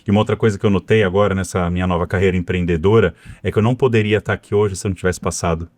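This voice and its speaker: A man's voice: deep voice, steady tone, racing, a little mellow, no room sound. A middle-aged Brazilian man from São Paulo with a São Paulo accent, a podcast guest telling his story fluently and conversationally, tagging his sentences with little asides. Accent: Brazilian Portuguese, São Paulo. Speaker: cybersecurity executive and guest. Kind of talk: podcast interview.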